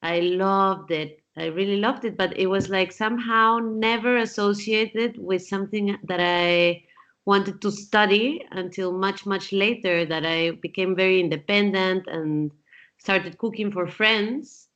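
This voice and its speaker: A woman's voice: medium pitch, measured pace, a bit bright. A woman from Peru in her forties, answering interview questions in a thoughtful way, with frequent fillers and restarts. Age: 40s. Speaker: guest. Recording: dull lo-fi sound.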